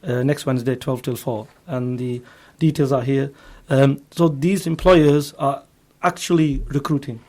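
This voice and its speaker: A man's voice: mid-range voice, steady tone, unhurried, hardly any pauses, darkish tone. A man from Bradford with a West Yorkshire accent, explaining eagerly as a guest in an informal radio interview. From Bradford, England. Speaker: interview guest, recruitment agency founder.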